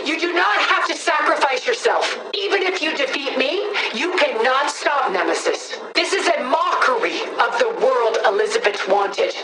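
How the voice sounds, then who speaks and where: High-voiced imperious villainess, echoing. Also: female voice, expressive, moderate pace, hardly any pauses, a crowd in the background. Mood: grim, imperious. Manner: imperious, dramatic, emphatic delivery with formal phrasing. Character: commanding, possessive female villain piloting a war machine, pleading and imperious. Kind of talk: video game combat voice lines. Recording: distant, echoing voice with background noise.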